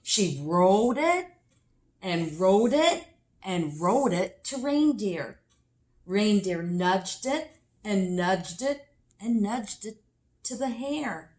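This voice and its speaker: A woman reading a picture book aloud expressively for children, with deliberate emphasis. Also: medium pitch, expressive, darkish tone. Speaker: children's story reader.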